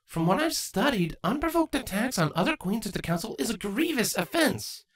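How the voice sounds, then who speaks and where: A woman plays a young changeling daughter from a fantasy storybook. She is bookish, caring and a little playful, speaking casually and earnestly with very animated pitch. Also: moderate pace. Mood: concerned. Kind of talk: acted fan fiction audiobook dialogue.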